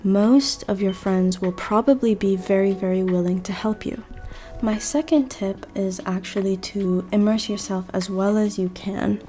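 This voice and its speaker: A young woman, a native Arabic speaker, hosting her own channel and giving a measured, earnest scripted lesson on learning a language. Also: mid-range voice, fairly even intonation, warm tone, faint music behind. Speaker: language teacher and channel host. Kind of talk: scripted educational monologue.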